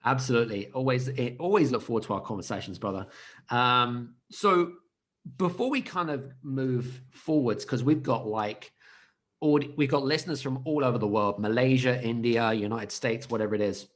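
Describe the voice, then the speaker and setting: A male podcast host with a mid-pitched voice who talks with eager energy. He punches key words loudly and often restarts his phrases as he introduces a guest. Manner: lively, emphatic, loud greetings with halting restarts. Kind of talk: enthusiastic podcast interview.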